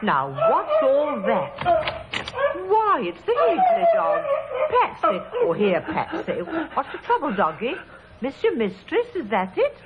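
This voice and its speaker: Chatty village innkeeper, theatrical and very animated, dull, heavily noisy old radio sound. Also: female voice, sits high, measured pace, full character voice. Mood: cooing, fussing. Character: fussy, gossipy village innkeeper woman. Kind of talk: 1950s radio drama acting. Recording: muffled, noisy vintage radio recording.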